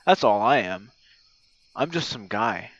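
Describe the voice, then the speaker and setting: A man voicing an old gamer buddy, lonely and self-effacing, in a halting, sweary, casual voice message. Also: on the low side, lively intonation, a bit bright, no echo, dull audio. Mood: self-effacing, exasperated. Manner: rambling, halting, frequent swearing. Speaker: audio roleplay performer. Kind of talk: intimate ASMR roleplay voice message, rambling casual talk. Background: night crickets and insects.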